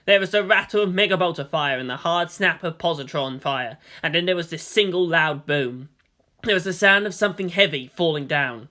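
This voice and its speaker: A woman's voice: lowish voice, fairly quick, pushed and bright. A woman reading fan fiction aloud as a dramatic, scripted story narration.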